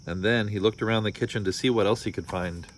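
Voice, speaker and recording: American male storybook reader, fairly low voice, drily joking asides, slight noise behind. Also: fast. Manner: wry, joking commentary. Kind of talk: children's story read-aloud with wry casual asides.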